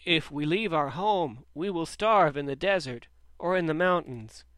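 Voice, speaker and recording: A man voicing a gentle, sad antelope and goat who reason calmly. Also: a little high, unhurried, no room sound, voice pushed up. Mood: pleading.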